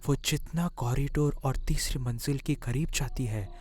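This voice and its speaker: Slow-paced male story narrator. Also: mid-range voice, a little mellow.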